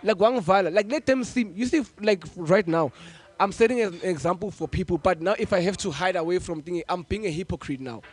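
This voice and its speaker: A young man with a high voice chats animatedly on a podcast in a small studio, close to a stand mic. He keeps checking in with his listeners and slips easily between languages. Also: brisk, breathy edge. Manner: animated, code-switching, keeps asking "you get me?". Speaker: podcast host. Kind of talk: casual chat. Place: small studio room, seated on a couch. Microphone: stand mic in front of the face.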